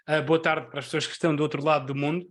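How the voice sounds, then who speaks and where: A man's voice: fairly high pitch, little pitch movement, racing, a bit bright. A Venezuelan-born man with a European Portuguese accent, introducing himself as an innovation lab manager in a friendly, joking way with some fillers. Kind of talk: online talk presentation. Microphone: video call.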